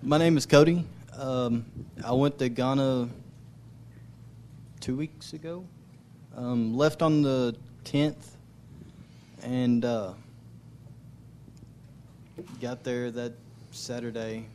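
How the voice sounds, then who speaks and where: A man's voice: mid-range voice, slow, warm tone, slight background noise. A man giving an informal missionary testimony in church, speaking hesitantly in short broken phrases.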